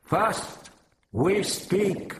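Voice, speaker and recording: Alien insect creature, very slow stilted speech, strange accent, harsh crackle, hostile and commanding, swooping pitch. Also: male voice, animated, echoey room, exaggerated voice. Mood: stern, demanding. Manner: stilted, clipped, drawn-out delivery. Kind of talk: dramatised audiobook character voice.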